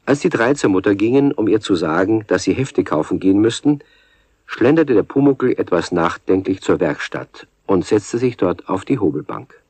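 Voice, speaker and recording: Male narrator, husky, very lively intonation, children's storytelling, dry sound. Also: fairly low pitch, moderate pace, dry recording. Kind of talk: scripted storytelling for a children's radio play.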